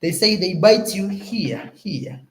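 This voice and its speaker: A young Kenyan man with a Kenyan accent, talking casually to his viewers as a YouTuber, animated and often searching for words. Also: high-pitched, takes it slowly, slight background noise. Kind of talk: casual vlog talk to camera.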